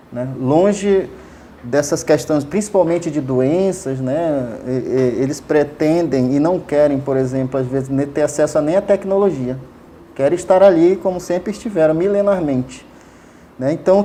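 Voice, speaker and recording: A man's voice: on the high side, mellow. Hesitant man. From Amazonas, Brazil. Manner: hesitant, many fillers and restarts, frequent tag questions. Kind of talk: casual explanatory interview answers.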